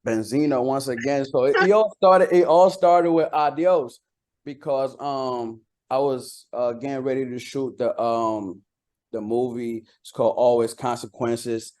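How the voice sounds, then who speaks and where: A man's voice: mid-range voice, unhurried, darkish tone. Slangy man chatting about himself casually. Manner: slangy, repeats phrases as he tells anecdotes. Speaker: rapper being interviewed. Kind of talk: casual interview talk.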